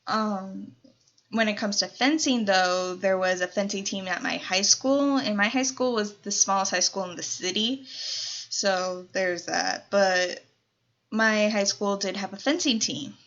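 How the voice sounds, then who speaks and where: 19 yo girl from colorado doing a q&a vlog, slow and rambly, lots of ums, kinda dull lo-fi sound. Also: mid-range voice, fairly bright tone. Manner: chatty, rambling with many "so" and "um" fillers. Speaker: YouTuber. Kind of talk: casual Q&A vlog.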